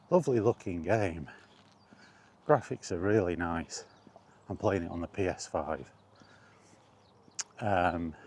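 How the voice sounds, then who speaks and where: A middle-aged man in an unhurried, halting, reflective solo chat as a gaming vlogger. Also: low-pitched, darkish tone, slight background noise. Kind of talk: rambling casual chat about video games.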